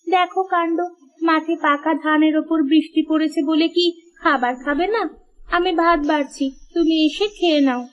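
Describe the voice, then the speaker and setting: A woman's voice: very high-pitched, steady tone, moderate pace, highs cut off. A woman voicing a caring, nagging farmer's wife in a cartoon, coaxing and full of questions. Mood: coaxing, scolding. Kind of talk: cartoon moral-story dialogue.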